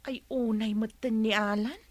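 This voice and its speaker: A woman's voice: a little high, fairly even intonation, normal speed, no echo, natural voice. A woman playing a patient, apologetic wife who pleads with and chides her husband in a radio drama. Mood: concerned, deferential. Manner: pleading, reproving.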